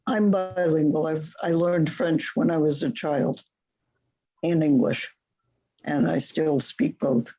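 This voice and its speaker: Slow, mellow-voiced teacher, muffled. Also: medium pitch, fairly even intonation. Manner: slow, deliberate, with long pauses. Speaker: teacher of the class. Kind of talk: leading a conversation class.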